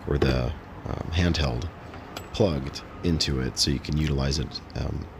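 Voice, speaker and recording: A man casually narrating a how-to vlog in a halting, unscripted, matter-of-fact way. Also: rumbling low, creaky, background noise. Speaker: host of a DIY install vlog.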